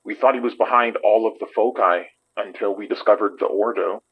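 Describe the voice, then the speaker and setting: A man playing a wary police detective in an audio drama, husky, terse and questioning. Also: lowish voice, brisk, phone-quality sound. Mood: guarded.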